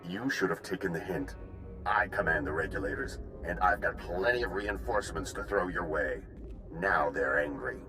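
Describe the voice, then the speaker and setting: Guy doing a deep voiced smug merc boss for a game, threatening and taunting, music behind. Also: moderate pace, natural voice. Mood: smug menace. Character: menacing male mercenary commander, arrogant and hard. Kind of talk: video game voice acting.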